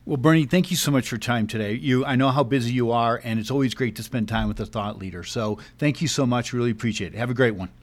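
A man's voice: medium pitch, fast talker, few pauses. A man hosting an interview, asking long rambling questions full of fillers. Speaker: interview host. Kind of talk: podcast interview.

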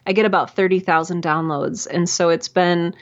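A chatty woman in her thirties talks with a bubbly, eager manner, laughing easily and stumbling over her own fillers. Her voice sits a little low for a woman. The sound is slightly muffled and lo-fi. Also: steady tone, moderate pace. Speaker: guest, audio drama creator. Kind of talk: casual conversational interview.

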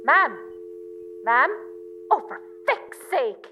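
A woman's voice: sits high, big pitch swings, drawn-out words, over music, heavily acted. A woman playing a worried, exasperated grown daughter on the phone in an amateur radio comedy, with an Irish accent and a weary manner. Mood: anxious, impatient. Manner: weary, impatient.

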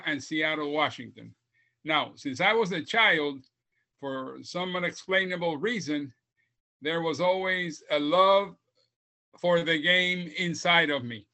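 A man in his seventies from Puerto Rico tells his life story as a podcast guest, heartfelt and reflective. His voice is bright and forceful, and sits somewhat high for a man. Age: 70s. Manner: heartfelt, reflective, speaks slowly. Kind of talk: reflective storytelling interview answers.

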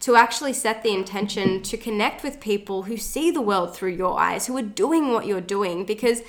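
A woman's voice: medium pitch, moderate pace. A woman co-hosting a casual spiritual podcast, speaking with effusive enthusiasm and a slightly nasal voice. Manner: enthusiastic, effusive, guiding the listener. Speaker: podcast co-host.

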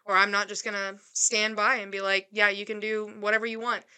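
A woman in her twenties speaks earnestly and reassuringly, and her pace quickens when she gets excited. Her voice is very bright and sharp-edged, smooth and clear in tone. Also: medium pitch. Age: 20s. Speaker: podcast co-host. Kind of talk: casual chat.

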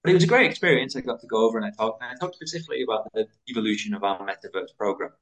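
A British-raised man, likely in his thirties, a school founder talking quickly as a podcast guest, with lively pitch and frequent restarts. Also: poor audio. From United Kingdom. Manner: fast, animated, many restarts. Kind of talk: interview conversation.

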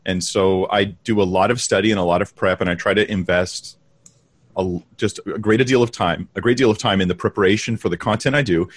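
A pastor and Bible teacher from Southern California speaks as a guest on a video call. His voice sits a bit low, and he explains his work in an earnest, steady way. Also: brisk, husky. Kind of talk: interview guest answering questions.

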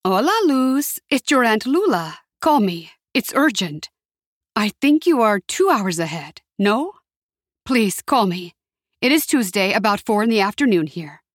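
A woman's voice: medium pitch, big pitch swings, unhurried, no echo, studio quality. A woman voicing an anxious older aunt from Mexico, with a trembling, pleading manner and a touch of disapproval. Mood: worried.

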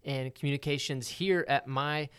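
A man's voice: mid-range voice, steady tone, moderate pace, fairly bright tone, clean tone. A man co-hosting a faith podcast who asks his guest playful, teasing questions. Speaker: podcast co-host. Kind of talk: casual interview chat.

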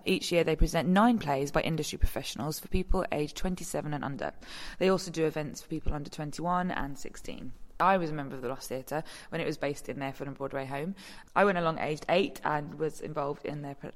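A woman presents a scripted report on location. Her voice is low for a woman. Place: theatre, on location.

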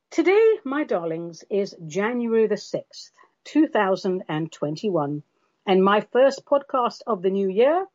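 A woman's voice: fairly high pitch, a little mellow, muffled recording. Theatrical London woman podcast host. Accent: London English. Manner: theatrical, sardonic, addresses listeners as darlings. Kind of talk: monologue podcast.

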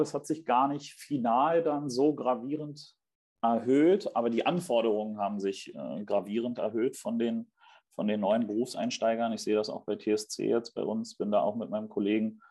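A man's voice: lowish voice, mellow. A man in his late twenties from Germany, answering as a podcast guest in a conversational style. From Berlin, Germany. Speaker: podcast guest, real estate investment manager.

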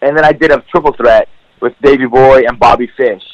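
A man's voice: mid-range voice, steady tone, fairly quick, dry recording, lo-fi sound. A man whose first language is Farsi, a professional wrestler talking as a guest in a casual podcast interview, rambling with many fillers.

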